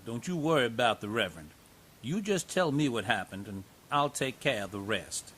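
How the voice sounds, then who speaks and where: A man playing a folksy, gently joking investigator who reassures a young witness. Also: medium pitch, very animated, normal speed, husky. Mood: reassuring.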